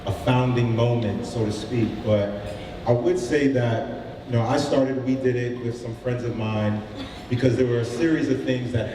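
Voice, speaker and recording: A man who founded a startup gives an informal pitch talk to a live audience, with many fillers and restarts. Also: warm tone, a little hiss or hum. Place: event room with audience. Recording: roomy hall, mic from a distance.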